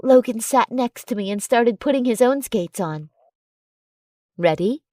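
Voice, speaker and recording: Animated high-pitched woman reading a romance story. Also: normal speed, dry recording, clean studio sound. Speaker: audiobook narrator. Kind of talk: expressive first-person romance story reading.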